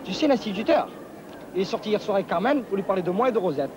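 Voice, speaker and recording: An actor playing a hot-headed, aggrieved young suitor with a French Algerian pied-noir accent, blustering in a comic drama. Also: male voice, high voice, expressive, fast talker, background noise. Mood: confiding, touchy. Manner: agitated, colloquial, blustering.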